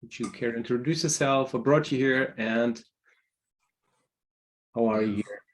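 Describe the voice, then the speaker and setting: A man from Germany facilitating a video-call meeting, relaxed and hesitant with frequent ums. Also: mid-range voice, a little slow. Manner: hesitant, many ums, light laughs. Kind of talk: casual online meeting talk.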